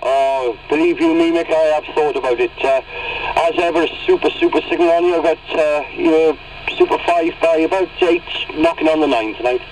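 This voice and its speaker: A man's voice: on the high side, normal speed. Man over very noisy CB radio. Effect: CB radio transmission. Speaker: CB radio operators calling in. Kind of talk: informal CB radio chat with procedural jargon. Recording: very noisy, distant CB radio reception. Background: loud radio noise.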